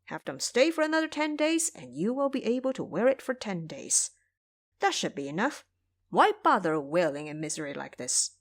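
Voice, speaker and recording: A woman's voice: mid-range voice, big pitch swings, normal speed. A woman playing a brash, impatient young male monk who talks in a scolding, emphatic way in an audio drama. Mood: exasperated.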